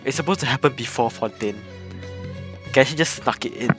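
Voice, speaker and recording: A man's voice: medium pitch, fairly quick, a bit bright, soft background music. Young guy rambling and laughing on a game stream, mid-range voice. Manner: chatty, rambling, laughs often. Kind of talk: casual live game commentary.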